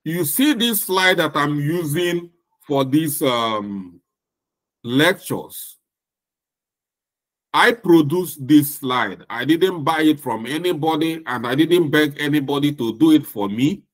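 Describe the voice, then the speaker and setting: Slow-speaking Nigerian man lecturing. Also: on the high side, expressive. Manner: slow, deliberate, with emphatic repetition. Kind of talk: recorded lecture.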